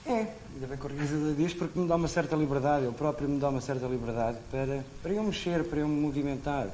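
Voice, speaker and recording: A man's voice: a little high, racing, a little mellow, low-quality recording. A man, a TV camera operator, answering interview questions earnestly and repeating his points. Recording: old VHS TV recording, faint hiss.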